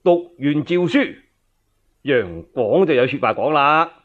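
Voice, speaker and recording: A man's voice: fairly high pitch, animated, slow, dull audio. A man with a Cantonese accent narrating as a storyteller in a dramatic, traditional radio style.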